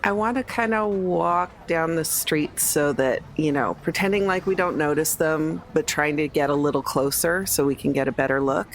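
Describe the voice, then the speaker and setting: A woman's voice: sits low, moderate pace, hardly any pauses. A woman playing a tabletop game, casually describing what her character does and says.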